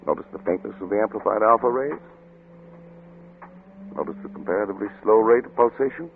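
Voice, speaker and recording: An actor playing an arrogant, obsessed middle-aged scientist, brisk and lecturing, in old radio drama style. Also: male voice, lowish voice, animated, gravelly. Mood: curt, insistent. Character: obsessed, arrogant male scientist, cold and impatient. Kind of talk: 1940s radio drama acting. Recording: narrow-band, noisy old radio recording.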